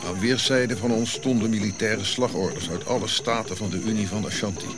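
An actor playing a reflective African prince who recounts his royal youth in measured, eloquent radio drama monologue, pausing as he goes. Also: on the low side, close to an everyday voice. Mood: stately, proud. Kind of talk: audio drama narrative monologue.

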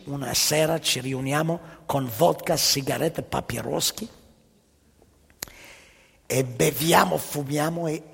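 Man doing a wry moscow guy telling it slow in stage comedy, gravelly, broken grammar, hall echo. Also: fairly high pitch, pitched below the performer's own voice, heavily acted.

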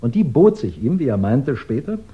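Ein Mann spielt in einem Krimi-Hörspiel der sechziger Jahre einen scharfsinnigen Ermittler, der bedächtig, höflich und förmlich bohrend einen Mordfall darlegt. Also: male voice, medium pitch, big pitch swings, close to an everyday voice. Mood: measured, probing. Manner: deliberate, courteous, probing, formal. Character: shrewd male investigator methodically laying out a murder case. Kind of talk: 1960s German radio crime drama acting. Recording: dull 1960s radio recording.

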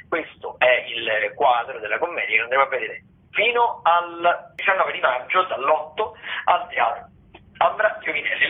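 Through a narrow, phone-like radio signal comes a man with a medium-pitched voice. He presents listings in a loose, semi-improvised way. His delivery halts and stretches on certain words as he searches for the next phrase. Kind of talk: semi-improvised spoken radio rundown of theatre shows. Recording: thin phone-like band-limited radio sound.

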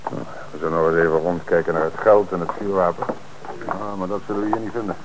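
An actor playing a calm, shrewd police commissioner who speaks in a measured, authoritative way in a radio drama. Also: male voice, low voice, background noise, lightly acted.

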